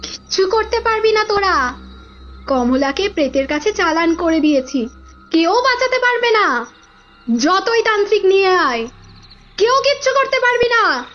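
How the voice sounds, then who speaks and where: A woman's voice: extremely high pitch, sing-song, unhurried, pauses often, a bit bright, lo-fi sound, faint music behind, full character voice. A woman acting a spirit-possessed young woman in a horror audio drama, taunting and threatening defiantly. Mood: taunting, enraged.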